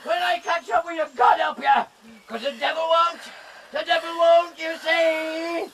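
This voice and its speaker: An actor playing a brutal, drunken sea captain in a radio drama, shouting and laughing cruelly. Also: male voice, very high voice, flat delivery, unhurried, recorded from a distance, a little hiss or hum, heavily acted. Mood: raging.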